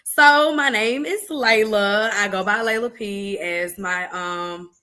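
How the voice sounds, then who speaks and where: A woman's voice: medium pitch, slow, bright, forceful tone. A young woman with an Atlanta Southern accent, introducing herself as an interview guest in a playful, chatty, familiar way. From Georgia, United States. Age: young adult. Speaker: interview guest, school counselor. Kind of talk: casual chat introducing herself.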